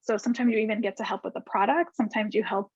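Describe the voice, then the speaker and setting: A woman's voice: mid-range voice, steady tone, racing, a little mellow, breathy edge, no room sound. A woman from Argentina, a guest designer, answering student questions in a chatty way with many restarts and fillers. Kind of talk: casual video call Q&A. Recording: dull lo-fi call audio.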